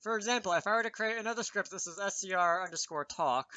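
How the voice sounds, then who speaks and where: A man's voice: high-pitched, fast, bright, forceful tone, no room sound. A man presenting an informal programming tutorial, thinking aloud with self-deprecating asides as he explains. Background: keyboard typing and mouse clicks.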